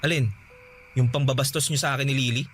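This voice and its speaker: A man's voice: medium pitch, steady tone, fast talker, fairly bright tone, slight background noise, natural voice. A man playing a resentful, defensive younger brother in a Filipino radio drama, sarcastic and wounded. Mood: irritated, defensive.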